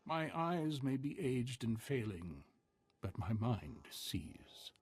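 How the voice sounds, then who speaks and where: Husky old desert soothsayer. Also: male voice, mid-range voice, sing-song, darkish tone, exaggerated voice. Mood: solemn. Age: older. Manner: slow, cryptic, portentous. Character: ancient, half-mad male desert soothsayer, cryptic and solemn. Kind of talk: scripted video game acting.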